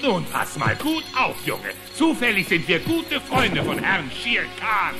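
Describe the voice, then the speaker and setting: An actor voicing a brash, quick-witted bear pilot who blusters and bluffs in a children's cartoon radio play. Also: male voice, high voice, wildly swooping pitch, heavily acted. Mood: indignant, blustering. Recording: echoey hall reverb, faint music.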